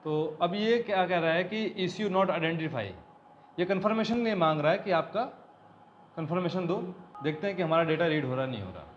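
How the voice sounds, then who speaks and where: A man's voice: fairly high pitch, moderate pace. A man teaching a hands-on electronics repair lesson, explaining step by step and asking and answering his own questions. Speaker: automotive electronics trainer. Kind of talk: hands-on technical tutorial. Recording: slightly dull recording, faint background noise.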